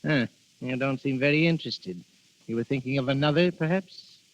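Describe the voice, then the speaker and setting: An actor in 1930s radio drama playing a genial, worldly older baron with an urbane, avuncular manner. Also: male voice, mid-range voice, fairly quick, natural voice. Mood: teasing. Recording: dull vintage 1930s radio recording.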